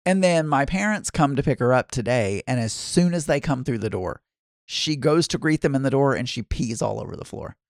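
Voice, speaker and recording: A man's voice: medium pitch, animated, moderate pace, dry recording, studio quality. A man with a Southern accent chatting casually to viewers, rambling and often correcting himself mid-sentence. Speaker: vlogger. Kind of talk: casual chat.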